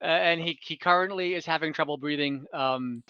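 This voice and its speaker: A man narrating an online fantasy game as game master, conversational and halting, with some light amusement. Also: a little high, clear, resonant tone, no echo, lo-fi sound.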